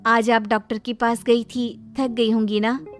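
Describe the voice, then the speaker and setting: A woman's voice: on the high side, level tone, a little slow, lightly acted. A woman voicing a devoted, affectionate young daughter-in-law in a cartoon story, sweet and earnest. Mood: tender, earnest. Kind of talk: Hindi cartoon moral story acting.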